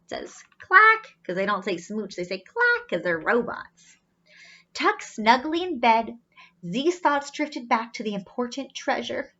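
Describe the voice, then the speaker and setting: Animated, high-voiced woman reading to children. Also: measured pace. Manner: teacherly, explains pictures, asks questions. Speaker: children's storybook reader. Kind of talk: animated read-aloud storytelling with asides. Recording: slightly dull, lo-fi sound.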